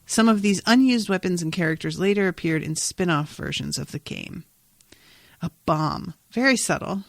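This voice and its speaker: A woman hosting a podcast, casually recapping an episode in an animated, amused, sometimes sweary way. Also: lowish voice, moderate pace, vocal fry, no echo. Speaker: podcast host.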